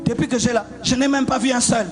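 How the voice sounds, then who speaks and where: Fervent high-pitched man preaching over music. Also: fairly even intonation, quick pace. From Democratic Republic of the Congo. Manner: fervent, repeats lines, half-sung shouting. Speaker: pastor. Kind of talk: shouted sermon.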